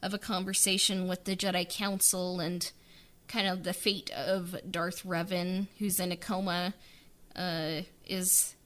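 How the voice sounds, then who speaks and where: A woman's voice: on the low side, level tone, unhurried, a bit bright. A woman co-hosting a podcast, chatting casually and hesitantly with many fillers. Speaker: podcast co-host. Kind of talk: casual behind-the-scenes chat.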